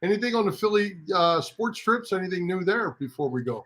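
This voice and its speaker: A man's voice: high voice, sing-song, fairly quick, fairly bright tone. A man hosting a sports radio show in a casual, emphatic way, often repeating his phrases.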